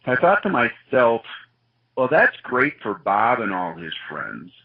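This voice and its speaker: A man's voice: mid-range voice, very animated, measured pace, creaky, breathy edge. A man who grew up in Wisconsin, a guest in an interview, telling his life story in an earnest, reflective way. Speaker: guest, organization CEO. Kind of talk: personal testimony in an interview. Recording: muffled lo-fi sound.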